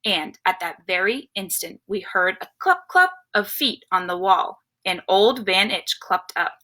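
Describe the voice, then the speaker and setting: Bright, forceful woman reading to children. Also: medium pitch, animated, unhurried. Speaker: storyteller for children. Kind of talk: expressive read-aloud of a rhyming picture book.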